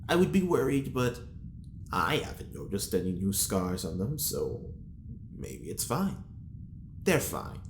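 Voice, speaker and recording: A man's voice: lowish voice, sing-song, a little slow, long pauses, a little hiss or hum. A man playing a pompous, sly prisoner with refined tastes in an audio drama, theatrical and arch. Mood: wistful, wry. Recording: roomy echo.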